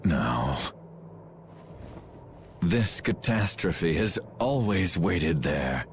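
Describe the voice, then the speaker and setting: Male theatrical doom-preaching game villain: bitter, very drawn-out delivery, hugely varied pitch. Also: very animated, slight background noise, full character voice. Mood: grim, ominous. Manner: theatrical, grand, declamatory. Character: grandiose male villain, mad with ruin and bitter irony. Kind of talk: dramatic video game voice acting.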